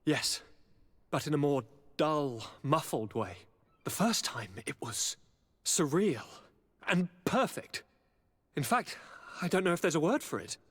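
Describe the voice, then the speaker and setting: A man's voice: a little high, expressive, measured pace, long pauses, fairly bright tone, breathy edge, full character voice. An actor playing a charming, eager young nobleman governor in a video game, speaking in a courtly, theatrical and affectionate way. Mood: wistful, dreamy. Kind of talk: dramatic video game voice acting. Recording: reverberant hall, music underneath.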